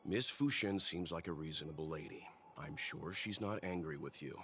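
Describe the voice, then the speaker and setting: Game role: composed older-brotherly guide, low voice, reassuring, soft music beneath. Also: male voice, normal speed. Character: calm, reasonable, fatherly male travel companion. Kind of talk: video game voice acting.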